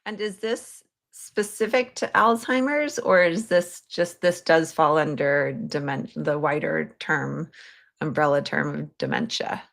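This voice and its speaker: Slow, low-voiced woman hosting an interview. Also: gravelly, fairly bright tone. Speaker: podcast host. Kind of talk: conversational interview.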